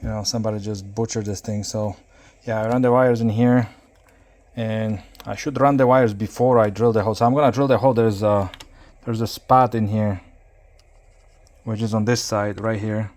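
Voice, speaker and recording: A man giving a casual hands-on tutorial, speaking haltingly as he thinks aloud while working. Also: lowish voice, steady tone, a little mellow. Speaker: CB radio hobbyist demonstrating an installation.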